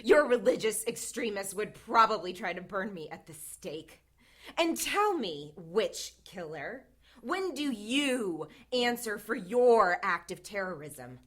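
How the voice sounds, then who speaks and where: A woman's voice: mid-range voice, very animated, slow, fairly bright tone, heavily acted. A woman playing a defiant witch in an audio drama, with a sardonic, taunting manner. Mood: scornful, accusing. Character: defiant, sardonic witch who killed her father.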